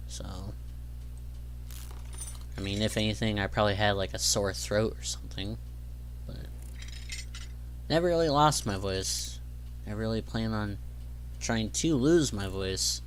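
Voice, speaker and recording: Slow, low-voiced young man chatting. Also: a little hiss or hum. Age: young adult. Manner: rambling, filler-heavy, easygoing. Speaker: gaming channel host. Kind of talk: casual gameplay commentary.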